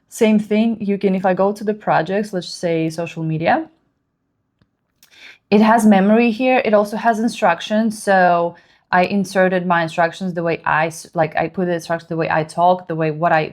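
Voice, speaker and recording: Woman, second-language English, slightly low voice, halting screencast talk-through. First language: Russian. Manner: halting, thinks aloud while typing, many fillers. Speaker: productivity vlogger. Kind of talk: screen-share tutorial.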